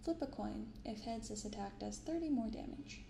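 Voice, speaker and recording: A young adult woman speaking softly and unhurriedly in a hushed ASMR style as she hosts a casual show-and-tell. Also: on the high side, expressive. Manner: hushed, unhurried reading aloud and chatting. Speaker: YouTube card-opening host. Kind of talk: soft-spoken ASMR, casual commentary. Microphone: close binaural microphone. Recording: roomy sound with clear background noise.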